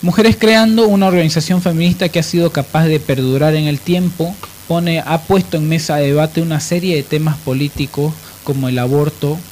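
A man's voice: a little high, fairly even intonation, a little fast, hardly any pauses, darkish tone, a little hiss or hum. A man with a Bolivian accent hosting a radio show, explaining things emphatically and with opinion. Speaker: radio host. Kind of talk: radio discussion and biographical narration.

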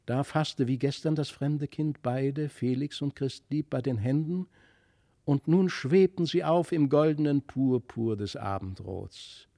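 A man giving an expressive, scripted narration of a literary fairy tale. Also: mid-range voice, a little slow, vocal fry, no room sound, studio quality. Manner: expressive storytelling. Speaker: audiobook narrator.

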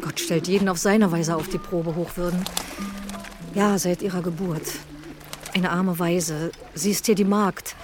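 A woman's voice: lowish voice, steady tone, unhurried, over music, lightly acted. A woman playing a village housekeeper in a radio drama, plain-spoken and deferential yet blunt. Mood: brisk, matter-of-fact.

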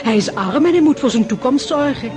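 An actress in a Dutch radio drama playing a gossipy, sharp-tongued society lady, knowing and haughty. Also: high-pitched, expressive, fairly quick. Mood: knowing, catty.

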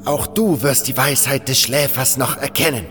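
A man's voice: medium pitch, normal speed, a bit bright, long reverb tail, soft background music, pitched above the performer's own voice, natural voice. A man playing a pompous, fanatical cult priest. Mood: solemn, insistent. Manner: pompous, scornful, solemn proclaiming. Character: fanatical, haughty male cult priest of a sleeping god. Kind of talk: fantasy audio drama acting.

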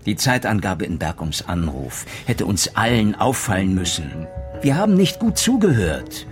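Low-voiced, probing old-school detective. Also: male voice, very animated, faint music behind. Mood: reflective. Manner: deliberate rhetorical questioning, step-by-step deduction. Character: retired male police detective, methodical, probing, theatrically sure of himself. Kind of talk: radio play crime drama acting.

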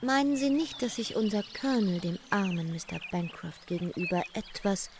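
A woman's voice: mid-range voice, expressive, moderate pace, background noise, lightly acted. A woman playing a sly adventuress posing as a genteel society lady in a radio play, with insinuating delivery. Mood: knowing, conspiratorial.

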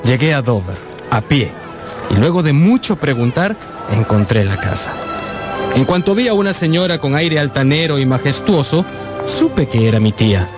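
A man's voice: medium pitch, sing-song, moderate pace, natural voice. An actor playing an earnest, sentimental grown man narrating his boyhood in a radio drama. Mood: reflective. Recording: music behind the voice.

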